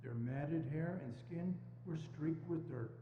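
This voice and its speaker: A man's voice: fairly high pitch, slow pace, mellow. Male narrator, scripted dramatic reading; very dull, narrow sound with faint hall noise. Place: large church hall, indoors. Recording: very muffled echoey church hall, humming noise.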